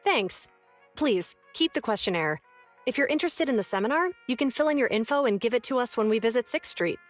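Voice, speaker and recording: An actress playing an earnest, by-the-book young police officer in a game, speaking briskly. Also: female voice, a little high, animated, faint music behind, lightly acted. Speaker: public security officer, Zhu Yuan.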